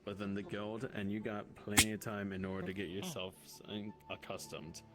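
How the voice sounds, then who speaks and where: A man playing a calm, encouraging senior monster hunter in improvised roleplay, speaking formally and repeating himself. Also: lowish voice, fairly quick, natural voice. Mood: patient, instructive. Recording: loud music behind the voice.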